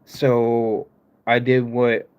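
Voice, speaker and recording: A young man whose American accent blends northern and southern sounds. He chats loosely with his viewers on a live stream, and he keeps halting and starting his sentences again. His voice sits at an ordinary pitch for a man. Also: flat delivery, darkish tone, smooth. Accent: mixed American, northern and southern. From United States. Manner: rambling, stops and restarts, talks to chat. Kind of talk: casual live-stream chat.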